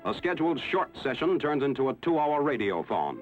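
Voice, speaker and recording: A man narrating a 1960s newsreel in a measured, formal announcer style. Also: mid-range voice, sing-song. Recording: tinny lo-fi old film soundtrack with music.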